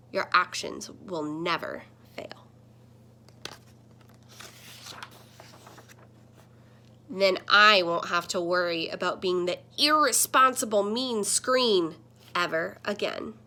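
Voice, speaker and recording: A woman's voice: mid-range voice, bright, forceful tone. A woman voicing a talking computer screen in a children's picture book, a protective mentor who admonishes and instructs emphatically. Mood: earnest advising.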